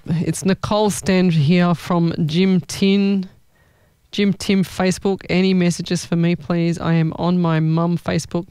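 A woman's voice: on the low side, warm tone, clear, resonant tone, dry recording, studio quality. A woman hosting a casual live chat as a psychic medium, talking in an informal, exclaiming way.

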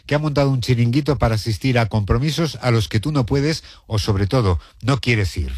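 A man's voice: medium pitch, brisk, darkish tone, dry recording, studio quality. A man hosting a radio magazine show, presenting stories in a wry, conversational way.

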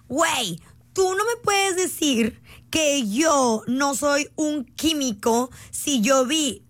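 A young Mexican woman streaming, chatting in an animated, joking, rambling way. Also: sits high, dry recording. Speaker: streamer reading viewer voice notes. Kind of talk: casual livestream chat.

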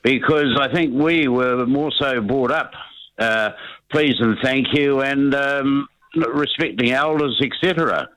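A man with a New Zealand accent chats as a regular caller to a radio show. His voice sits at a medium pitch, and he speaks in a halting, drily blunt way. The sound is dry, without room echo. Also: fairly bright tone. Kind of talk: casual phone-in chat.

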